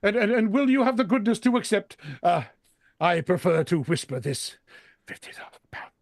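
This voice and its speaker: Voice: mid-range voice, very animated, moderate pace, no echo, clean studio sound. An actor playing an elderly reformed miser in a vintage radio drama, stammering and exclaiming with great feeling. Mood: excited, genial. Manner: stammering, breathless exclamations with frequent chuckles.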